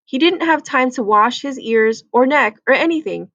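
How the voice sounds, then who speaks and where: A woman's voice: sits high, expressive, normal speed, fairly bright tone, no room sound, highs cut off. A woman reading a children's book aloud as a storyteller.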